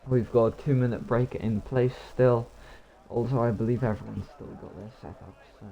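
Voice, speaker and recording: Hoarse male race commentator. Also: medium pitch, steady tone, normal speed, highs cut off. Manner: rambling, talks to himself between action. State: hoarse, voice nearly gone. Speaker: sim racing commentator.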